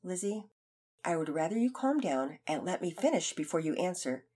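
A woman voicing a calm, serious male Sunday school teacher who speaks evenly and patiently. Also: fairly low pitch, breathy edge. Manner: calm, measured.

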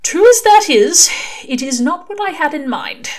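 A woman's voice: high-pitched, lively intonation, normal speed, pitched above the performer's own voice. A woman voicing a fussy, earnest male angel who speaks in a prim, well-spoken, emphatic way. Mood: pleading. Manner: emphatic, fretful pleading.

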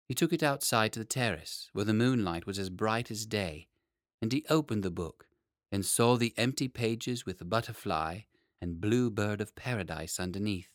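A man reading a children's story as an expressive audiobook narrator. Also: on the low side, no room sound, clean studio sound.

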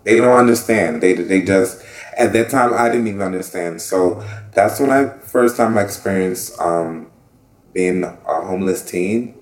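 A man's voice: deep voice, unhurried, reverberant. A man in his thirties from the Bahamas, speaking earnestly and reflectively in an oral history interview, often saying "you know". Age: 30s. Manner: earnest and reflective, with frequent fillers.

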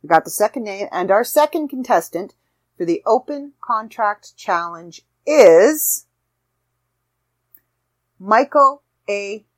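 A woman hosting a casual solo podcast, chatty and rambling with self-deprecating asides, her voice slightly raspy. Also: medium pitch, lively intonation, takes it slowly, long pauses. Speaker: podcast host.

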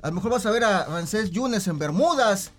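A man's voice: sits high, lively intonation, moderate pace, music in the background. A man with a Mexican accent, speaking conversationally and at length as a director being interviewed.

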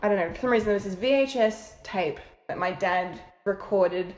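Brief: woman, late 20s, easygoing guest, mid pitch, echoey room. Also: moderate pace, a little hiss or hum. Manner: relaxed, rambling with fillers and restarts. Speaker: podcast guest. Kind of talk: casual interview chat. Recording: roomy echo.

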